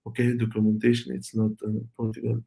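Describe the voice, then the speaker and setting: A man whose native language is Arabic, presenting an online tech talk and taking questions, speaking haltingly with many fillers and restarts. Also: mid-range voice, monotone, vocal fry, dark tone. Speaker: conference speaker.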